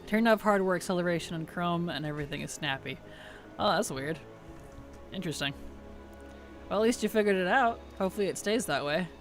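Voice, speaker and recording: A woman game streamer chatting casually and playfully on a livestream, her tired voice starting to weaken and turn gravelly. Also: on the low side, animated, a little fast, long pauses, soft background music. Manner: playful, teasing, chuckles often. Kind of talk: casual livestream chat over gameplay.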